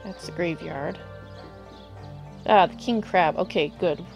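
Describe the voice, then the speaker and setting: Slightly hoarse woman streaming a game. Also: fairly low pitch, takes it slowly, over music. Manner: chatty, greets viewers, thinks aloud. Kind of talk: casual live gameplay commentary.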